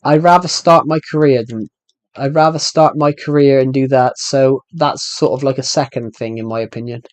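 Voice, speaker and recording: A man's voice: medium pitch, fairly even intonation, moderate pace, no room sound. Rambling British man, dry close sound. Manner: rambling, restarts sentences while answering chat questions. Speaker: game streamer. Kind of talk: casual live stream chat.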